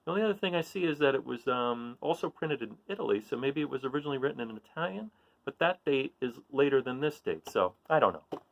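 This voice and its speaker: A man reads a children's picture book aloud as its host. His pitch is highly animated and moves widely around a middle register. He is encouraging and talks to his listeners as if in a guessing game. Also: fast talker, gravelly, poor audio. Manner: encouraging, asks listeners questions.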